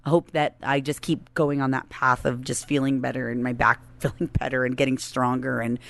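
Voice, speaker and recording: A woman in her late thirties with a low-pitched voice, co-hosting a casual podcast. She talks in a chatty, informal way and laughs easily. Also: flat delivery, brisk, creaky, fairly bright tone. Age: late 30s. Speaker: podcast co-host. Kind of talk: casual banter with spouse.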